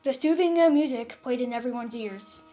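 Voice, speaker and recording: A woman's voice: fairly high pitch, moderate pace, mellow, faint music behind. A woman narrating an amateur fan fiction read-aloud, stumbling and repeating words as she reads.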